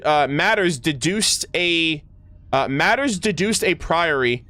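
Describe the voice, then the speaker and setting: A man streams a game with enthusiastic, slangy commentary. His voice sits a little high and he takes his time, moving between casual reactions and reading text aloud, stumbling here and there. The sound is dry, with very little room to it. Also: a bit bright, no room sound. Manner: enthusiastic, slangy, often stumbles over words.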